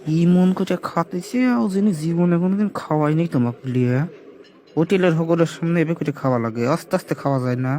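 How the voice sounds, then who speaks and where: A man's voice: a little high, fast talker, warm tone, a little hiss or hum, lightly acted. A man with a Pabna accent from Bangladesh voicing a grumbling, money-anxious henpecked husband in a comic cartoon dub. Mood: grumbling, resigned. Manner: muttering complaints. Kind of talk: comic cartoon dubbing in dialect.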